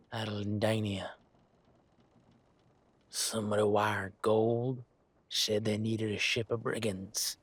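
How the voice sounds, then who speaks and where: A rough mercenary brigand, played by a man, low and husky with an unhurried delivery. He is laconic, folksy and cagey, stubbornly casual, a shady character from a fantasy roleplay. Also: fairly bright tone, exaggerated voice. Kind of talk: fantasy roleplay audio drama.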